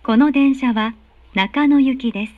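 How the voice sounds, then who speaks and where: A woman delivers recorded train announcements in a high, courteous voice. Her phrasing is formal and carefully enunciated. The sound is dry and a little muffled, as captured from a carriage speaker. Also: unhurried, no echo. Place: recorded announcement played inside subway car.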